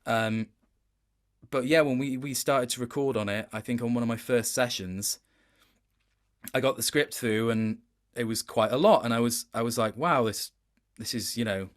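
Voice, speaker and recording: A man's voice: medium pitch, moderate pace. A man talking as a voice actor guest in a casual interview, telling anecdotes in a hesitant, rambling, appreciative way.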